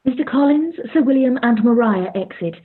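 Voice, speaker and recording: A woman with a husky voice reading scripted narration for a radio play. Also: on the high side, lively intonation, moderate pace. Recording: dull, lo-fi sound.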